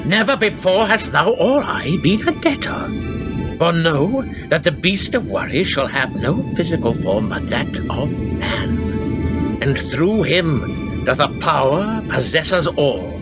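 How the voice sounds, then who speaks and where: A man playing a proud, tormented medieval lord in a radio drama, declaiming archaic English with dramatic pauses. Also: a little high, sing-song, exaggerated voice. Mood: brooding, defiant. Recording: radio play with loud music behind.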